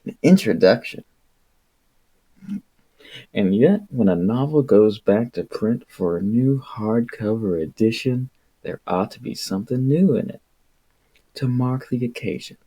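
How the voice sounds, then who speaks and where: A man livestreaming a book reading in a casual, rambling, irreverent way, with a husky voice and an exasperated, sweary manner. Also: mid-range voice, lively intonation, measured pace, pauses often, dark tone. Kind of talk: casual rambling livestream with read-aloud passages.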